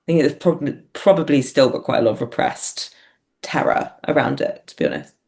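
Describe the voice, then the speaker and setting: A woman's voice: very deep voice, moderate pace, vocal fry, a little mellow. A woman talking casually and reflectively as an interview guest, hesitant and self-deprecating as she thinks aloud. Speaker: podcast guest, writer and performer.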